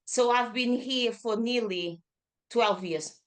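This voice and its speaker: A woman's voice: on the high side, slow. Portuguese-accented woman, chatty yoga teacher. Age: 30s. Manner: chatty, with frequent fillers and self-corrections. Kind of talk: casual interview chat.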